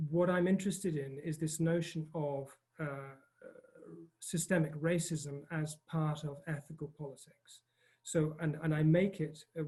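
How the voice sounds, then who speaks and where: Halting, mellow-voiced British man. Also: on the high side. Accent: posh British. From northern Scotland. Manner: self-deprecating, halting with many fillers. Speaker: panel speaker. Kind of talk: online panel talk. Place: outdoors in a field.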